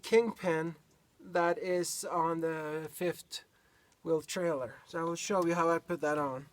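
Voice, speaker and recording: A Norwegian man with a Norwegian accent, showing a hitch step by step in a halting way, full of fillers, recorded outdoors on a camera mic. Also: a little high, fairly even intonation, slight background noise. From Norway. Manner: halting, searching for words, many fillers. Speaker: DIY vlogger. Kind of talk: hands-on how-to demonstration. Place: outdoors by a pickup truck bed.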